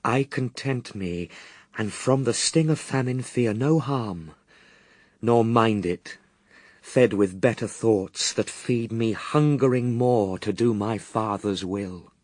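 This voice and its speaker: Slow, patient holy man. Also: on the low side, darkish tone, dry recording. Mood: pensive, resolute. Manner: measured, introspective, restrained. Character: Christ, hungry, patient, steadfast man fasting in wilderness. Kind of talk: classical radio verse soliloquy.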